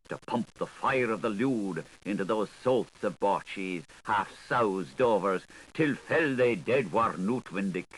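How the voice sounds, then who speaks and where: A man's voice: fairly low pitch, a bit bright, slightly rough, low-quality recording. A man reading literary fiction aloud as an audiobook narrator, in a theatrical, playful manner. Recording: older 1980s recording with audible noise.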